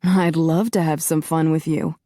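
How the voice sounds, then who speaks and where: A cocky immortal man, as a female narrator puts him on, calm and dry with a teasing edge. He stays level and unbothered, and the sound is close and dry. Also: low-pitched, normal speed, dry recording, lightly acted.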